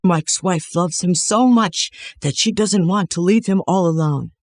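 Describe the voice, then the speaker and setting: Higher-pitched male story narrator. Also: a little slow, loud music behind the voice.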